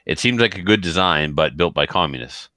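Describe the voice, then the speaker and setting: A man asking questions as a podcast host, casual, with hesitant, halting phrasing. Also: low voice, lively intonation, pushed and bright, no room sound.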